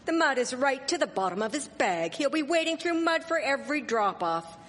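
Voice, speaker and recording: A proper, sharp-witted Victorian townswoman, played by a woman in a live radio drama. She speaks wryly in a high, animated voice that echoes in a hall. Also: fairly quick. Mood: gossipy.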